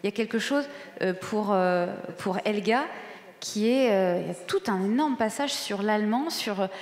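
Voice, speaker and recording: A woman journalist moderating a literary round table, speaking in a measured way with some fillers and putting direct prompts to the guests. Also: medium pitch, animated, darkish tone. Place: public event hall. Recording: echoey hall with long reverb.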